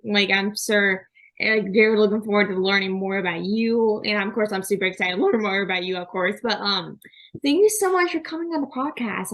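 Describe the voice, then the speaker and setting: A woman's voice: mid-range voice, a bit bright, low-quality recording. A young woman hosting a podcast interview, chatty and filling her talk with "like". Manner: chatty, says "like" constantly. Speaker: podcast host. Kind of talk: casual interview chat.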